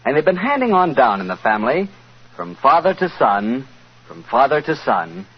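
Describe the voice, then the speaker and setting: A man working as a 1940s radio announcer and pitchman, delivering scripted banter on a dull vintage recording. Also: very animated, moderate pace, slightly rough.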